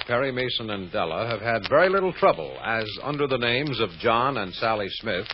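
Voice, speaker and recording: Man narrating old radio serial, muffled audio with lots of noise. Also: mid-range voice. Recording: dull, noisy old radio recording.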